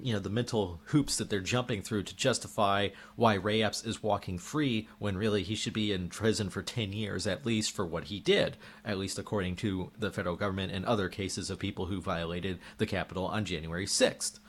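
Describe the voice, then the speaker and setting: A man's voice: low voice, steady tone, brisk, few pauses, breathy edge. A man delivering an indignant opinion monologue as a political commentator, firing off rhetorical questions while losing his voice.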